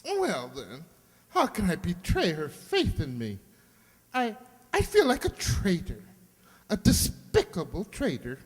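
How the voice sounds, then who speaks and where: A woman acts a fretful beautician from a radio comedy, stammering and easily dismayed. The voice is husky and swings wildly up and down at a slow, theatrical pace, ringing in a reverberant hall. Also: on the low side, wildly swooping pitch, full character voice. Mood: dismayed, guilty. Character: fretful beautician Antoine, reluctantly disloyal. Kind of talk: old-time radio comedy acting.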